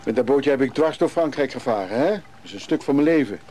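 An actor playing a gruff, guarded man who lives on a leaky boat, speaking colloquially in a naturalistic radio drama. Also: mid-range voice, expressive, fast talker, warm tone, slight background noise, lightly acted. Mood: grumbling.

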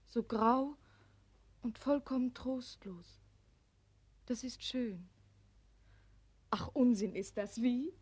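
A young woman with a high voice, caring and earnest. She speaks with tender, teasing playfulness in the manner of old radio drama. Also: takes it slowly, pauses often, a little mellow, breathy edge. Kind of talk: 1940s German radio acting. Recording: 1940s radio studio recording.

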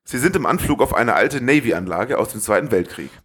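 A man's voice: medium pitch, lively intonation, brisk, fairly bright tone, slightly rough. A man co-hosting a podcast, narrating a scripted film summary with playful asides. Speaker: podcast co-host.

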